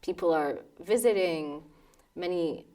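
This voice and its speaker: American woman in her thirties, slightly low voice, thoughtful interview talk, soft laughs. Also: measured pace, recorded from a distance. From New York, United States. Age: 30s. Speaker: pianist. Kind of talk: documentary interview.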